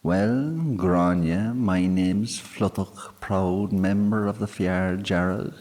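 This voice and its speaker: A man voicing a tiny, bearded, easily offended male fairy, huffy and sharp-tongued. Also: low-pitched, steady tone, slow, pitched above the performer's own voice. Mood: indignant. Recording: long hall reverb.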